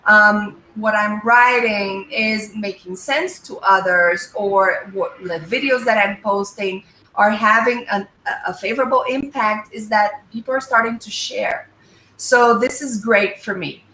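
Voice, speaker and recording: A woman speaks thoughtfully, pausing often with fillers. Her tone is bright and sharp. She sits a little back from the mic in a roomy, echoing space. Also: medium pitch, a little hiss or hum. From Brazil. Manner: thoughtful, hesitant, many fillers. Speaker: guest. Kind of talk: casual conversation on a live show. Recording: echoey room, mic a bit far.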